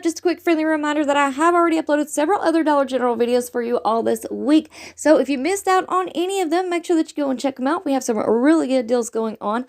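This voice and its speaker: A woman hosting a couponing channel, talking to viewers in a chatty, upbeat, friendly way while her throat is sore from allergies. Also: high voice. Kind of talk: casual vlog talk to viewers.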